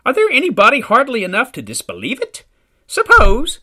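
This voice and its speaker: A man doing a shrill, fretful old mother. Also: very animated, normal speed, a bit bright. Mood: indignant. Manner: shrill, indignant insistence.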